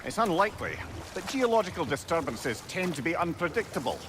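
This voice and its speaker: An actor playing a witty, learned older advisor with a Scottish accent in a video game, wry and eloquent. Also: male voice, a little high, very animated, a little fast, background noise. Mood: wry, chatty.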